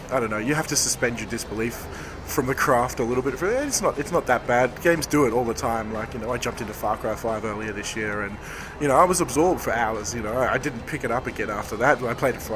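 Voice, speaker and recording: A man, a game developer, hoarse from talking all day, answering interview questions in a rambling, thoughtful way. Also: mid-range voice. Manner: rambling, self-correcting, thoughtful. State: hoarse, losing his voice. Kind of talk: convention floor interview. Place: busy convention floor.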